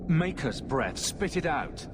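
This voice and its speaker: An actor playing a resolute, protective adventurer in a video game, speaking bluntly and with determination. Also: male voice, a little high, very animated, slow. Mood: urgent concern. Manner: direct, urgent, determined. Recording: noisy game ambience.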